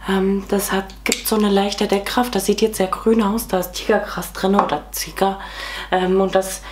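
A young woman in her twenties with a cold, hoarse, chatting casually through a makeup tutorial at home with many fillers. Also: medium pitch, flat delivery, normal speed, a little hiss or hum. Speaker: beauty vlogger. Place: small room at home, indoors.

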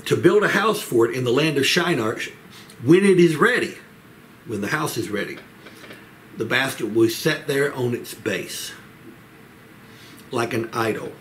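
Measured older man preaching, noisy recording. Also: medium pitch, lively intonation, a bit bright. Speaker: pastor leading a Bible study. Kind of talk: Bible teaching, expository sermon. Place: small home office, indoors. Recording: clear background room noise.

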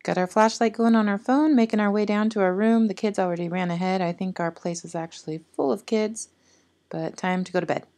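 A woman's voice: mid-range voice, fairly even intonation, brisk, darkish tone. A woman in her thirties chatting casually in a family travel vlog, upbeat and rambling.

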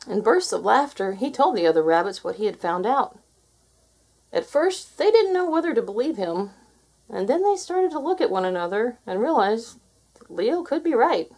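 Lady reading a kids story out loud, real expressive, bit husky. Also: a little high, moderate pace. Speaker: storybook reader. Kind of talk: expressive children's story read aloud.

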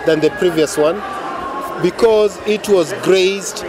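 A man's voice: sits high, slow pace. A man giving formal interview remarks at an event. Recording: busy crowd noise behind.

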